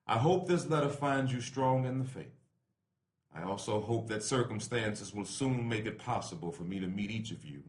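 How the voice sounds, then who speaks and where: A man giving a solemn, measured, oratorical reading of a letter, with deliberate pauses. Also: lowish voice. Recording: echoey room.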